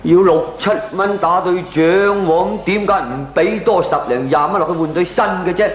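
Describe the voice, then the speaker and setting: A man's voice: high-pitched, moderate pace, close to an everyday voice. An actor playing a frugal, good-humoured ordinary man, explaining things expressively in comic radio drama with a Hong Kong accent. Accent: Hong Kong Cantonese. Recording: echoey old radio drama recording.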